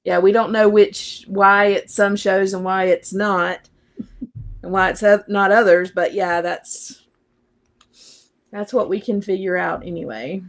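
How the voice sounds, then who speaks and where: Slow-talking woman with a gravelly edge, keeps saying uh, unsure, casual. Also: mid-range voice, steady tone. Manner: hesitant, stumbling over words. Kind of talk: casual chat.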